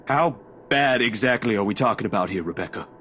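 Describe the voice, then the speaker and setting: A man's voice: fairly low pitch, animated, brisk, fairly bright tone, slight background noise. An actor in a story game playing a simple, caring man who talks in a plain, polite, reassuring way. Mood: uneasy. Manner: plain-spoken, reassuring, informal. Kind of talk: dramatic game dialogue.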